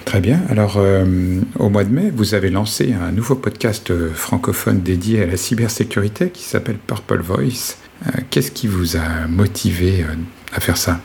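A man hosting a podcast and introducing his guests in a measured way. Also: low voice, gravelly, darkish tone, a little hiss or hum. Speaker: podcast host.

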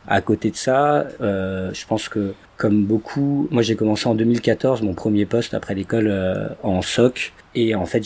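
A man in his thirties talks through a casual podcast chat. His voice is slightly low and husky, and he hesitates often and restarts his sentences. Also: a little mellow. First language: French. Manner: hesitant, many fillers and restarts. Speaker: podcast guest, cybersecurity director.

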